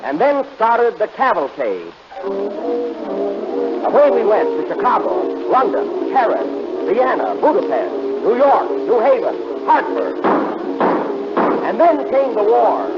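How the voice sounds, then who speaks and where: A man's voice: very high voice, full character voice. A man playing a grown-up wisecracking comedian who narrates his childhood in an old radio comedy. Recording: muffled old radio, music behind.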